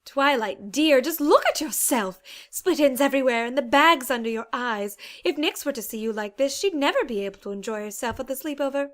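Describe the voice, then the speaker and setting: A woman's voice: high voice, animated, fairly quick, hardly any pauses, a bit bright. A woman voicing a refined, caring, insistent female pony with a genteel, theatrical manner in an audiobook. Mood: fussily insistent. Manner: genteel, polite, theatrical phrasing. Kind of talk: dramatized audiobook character acting.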